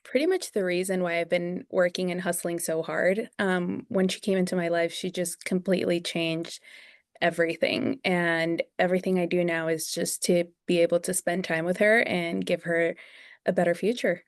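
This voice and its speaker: A woman's voice: on the low side, fairly even intonation, normal speed, dry recording, studio quality. A young woman from Venezuela, a dentist and practice owner, speaking as an interview guest in an earnest, sincere way. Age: young adult.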